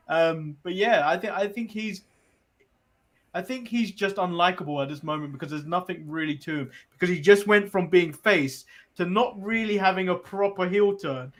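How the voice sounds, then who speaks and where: An English man with a high-pitched voice chats about wrestling as a podcast co-host. He rambles casually through his opinions with a grumbling, conversational delivery. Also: moderate pace. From England. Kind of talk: casual sports chat.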